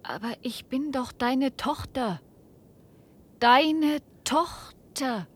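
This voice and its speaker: An actress playing an anxious grown daughter in a radio play, pleading with urgent, halting delivery. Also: female voice, husky, heavily acted. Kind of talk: German radio play acting. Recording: rumbly, noisy archive radio recording.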